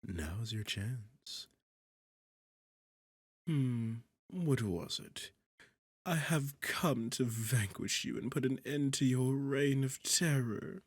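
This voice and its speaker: A man voicing a smug, sarcastic demon king in an ASMR roleplay, with a husky, gravelly low voice and a mocking, theatrical delivery. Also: lively intonation, slow pace, dry recording. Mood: amused, teasing. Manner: mocking, teasing, condescending, theatrical. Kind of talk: ASMR roleplay audio drama.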